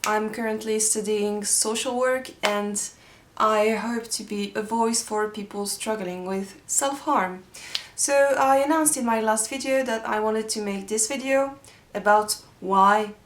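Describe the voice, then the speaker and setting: A woman's voice: mid-range voice, unhurried, roomy sound, slight background noise. A nineteen-year-old woman from Switzerland with a French-speaking Swiss accent, explaining things in an earnest, reassuring vlog with frequent ums. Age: young adult. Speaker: video creator.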